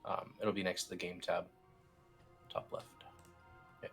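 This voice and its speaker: A man with a low voice guides someone through software one click at a time. His delivery is informal and a bit hesitant, like a colleague instructing over a screen. Faint music plays underneath. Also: flat delivery, a little slow. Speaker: team lead explaining software steps. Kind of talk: casual step-by-step screen tutorial.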